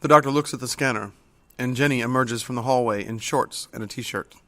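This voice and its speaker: A man's voice: medium pitch, a little fast, bright, forceful tone, a little hiss or hum. A man reading scripted narration as the narrator of an audio drama.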